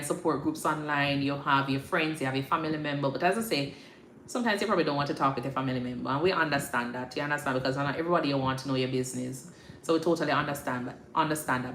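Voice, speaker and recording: A woman's voice: deep voice, fairly bright tone. A woman with a Jamaican accent chatting casually and informally on a livestream, often checking that listeners understand. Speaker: livestream host, nurse practitioner. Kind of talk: casual livestream chat to followers. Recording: echoey room with faint background noise.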